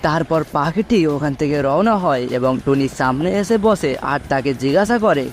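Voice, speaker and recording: Higher-pitched man narrating a children's cartoon. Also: expressive, brisk, slight background noise. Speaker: story narrator.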